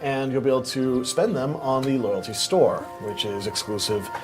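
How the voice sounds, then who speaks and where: A man's voice: medium pitch, moderate pace, music in the background. A man co-hosting a game livestream, upbeat and chatty with lots of fillers. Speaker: co-host on a game stream. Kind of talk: casual chat on a livestream.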